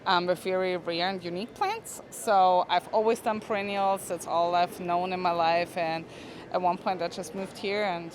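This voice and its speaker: Woman in her twenties, relaxed and hedging, interviewed on a trade show floor. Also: on the low side, moderate pace, a little hiss or hum. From Austria. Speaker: plant product manager. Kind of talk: casual interview.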